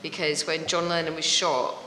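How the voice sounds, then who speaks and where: A middle-aged woman with a Scottish accent talks casually as a guest, quick to laugh and slipping into voices for her anecdotes. Her voice is fairly low but bright and forward. It rings in a roomy hall with a long tail and faint ambience behind. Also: little pitch movement, slight background noise. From Scotland. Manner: chatty, laughs often, acts out voices in anecdotes. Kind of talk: casual interview chat.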